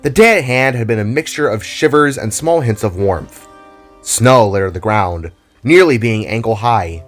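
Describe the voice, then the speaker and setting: A man's voice: on the low side, animated, moderate pace. A man narrating a scripted dramatic story in a theatrical, storybook manner. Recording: music under the voice. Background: background music.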